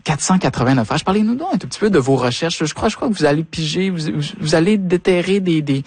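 A man's voice: a little high, expressive, fast talker, darkish tone, slightly rough, no room sound. A man with a Quebec French accent, interviewing in a conversational, informal way and often repeating words as he goes. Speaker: radio interviewer.

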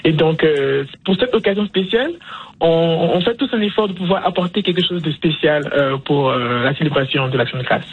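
A woman's voice: low-pitched, lively intonation, fairly quick, husky. A young woman giving hesitant, conversational answers as a guest on a radio panel. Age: young adult. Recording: muffled lo-fi radio link.

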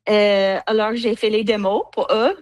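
A woman's voice: mid-range voice, fairly even intonation, measured pace, a little mellow, dry recording. A woman with an English accent, a cellist speaking French as a guest, hesitating with many fillers and slipping into English words. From United States. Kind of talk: interview answers.

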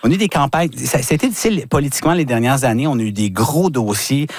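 A man from New Brunswick, a guest commentator in a radio interview, talking in a halting way with many fillers and restarts. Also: medium pitch, expressive, husky, dry recording, clean studio sound. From New Brunswick, Canada.

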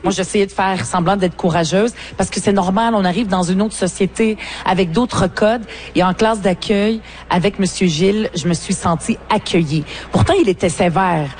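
Guest: Québécois woman, 40s, Arabic first language, earnest and reflective, halting with fillers, faint background noise. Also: mid-range voice, a little mellow. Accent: Québécois French. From Lebanon. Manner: earnest, reflective, many hesitations. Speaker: politician and author guest. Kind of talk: interview at a book fair. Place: book fair.

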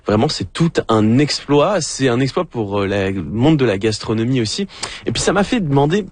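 A man from France with a French accent, hosting a radio show in casual talk, pausing often with fillers and restarts. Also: medium pitch, big pitch swings, no room sound. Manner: hesitant, with frequent fillers and restarts. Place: radio studio.

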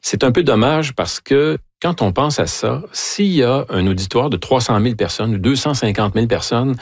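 A man's voice: medium pitch, animated, normal speed, husky, dry recording, clean studio sound. A man with a Québécois accent, a guest in a casual conversation who explains his opinions thoughtfully and at length.

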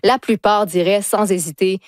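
A woman's voice: mid-range voice, steady tone, normal speed, no room sound, studio quality. A woman with a Quebec French accent doing sardonic comic commentary in a casual talk show style. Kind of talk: comedic monologue in casual chat.

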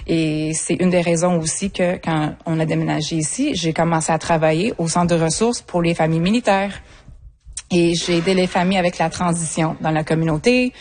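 A middle-aged woman from Montreal, a native English speaker, answering interview questions in a relaxed way with many fillers and restarts. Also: fairly low pitch, brisk. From Montreal, Quebec. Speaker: guest, association coordinator.

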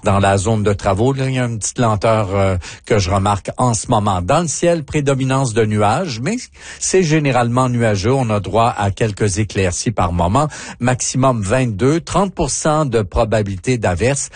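A man's voice: medium pitch, moderate pace, no echo. A man with a Canadian French accent giving a live, partly ad-libbed traffic and weather report on the radio.

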